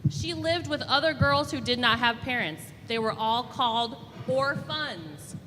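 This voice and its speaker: A woman with a slightly high voice leads a story hour for children. She reads aloud in short, simple phrases. The space is reverberant, with clear background noise. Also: animated, measured pace. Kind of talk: reading a children's picture book aloud to an audience. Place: large indoor room with children on the floor.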